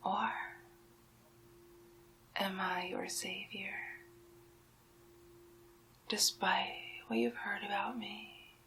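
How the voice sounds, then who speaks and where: Very slow, whispering witch played by a woman. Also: mid-range voice, level tone, slight background noise, low-quality recording. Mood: coaxing. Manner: hushed, drawn-out, teasing, theatrical. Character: sly, possessive medieval witch holding a captive huntsman. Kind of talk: ASMR roleplay, soft speaking and whispering.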